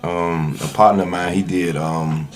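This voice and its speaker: A man's voice: deep voice, fairly even intonation, unhurried. A man telling his story as a podcast guest, conversational, with frequent "you know" asides. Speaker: podcast guest, actor and videographer. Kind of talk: casual interview storytelling.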